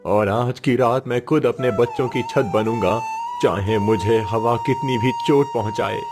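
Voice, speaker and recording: A man's voice: medium pitch, measured pace, over music, close to an everyday voice. A man playing a poor, protective father in a cartoon story, earnest, solemn and resolute.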